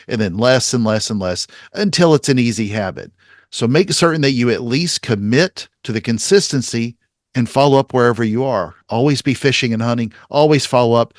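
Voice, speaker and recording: A man's voice: medium pitch, no echo, clean studio sound. A man hosting a podcast, summing up advice in an upbeat, emphatic way. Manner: upbeat, emphatic, list-like summarizing. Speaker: podcast host. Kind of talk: podcast interview and recap.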